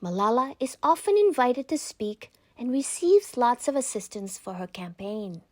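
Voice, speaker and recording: A woman narrating a children's book aloud in a scripted, deliberate and expressive read-aloud style. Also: fairly high pitch, no echo, clean studio sound.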